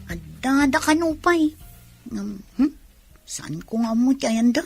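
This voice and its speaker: A woman's voice: high-pitched, lively intonation, unhurried, faint music behind, heavily acted. A woman playing a wistful young student in a radio drama, earnest and confiding.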